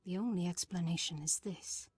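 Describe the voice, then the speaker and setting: An actress playing a grave, conspiratorial Sith cult master in a video game, speaking in a measured, formal, breathy manner. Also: female voice, fairly low pitch, a little mellow. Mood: grave, secretive. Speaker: game character, secret cult leader. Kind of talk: dramatic video game voice acting.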